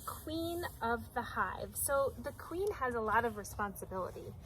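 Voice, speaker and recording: A woman with a slightly high voice leads a read-aloud lesson for young children. She speaks in simple, teacherly phrases and poses prompting questions. A faint layer of noise sits behind her. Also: expressive, moderate pace. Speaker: teacher and host reading a children's book aloud.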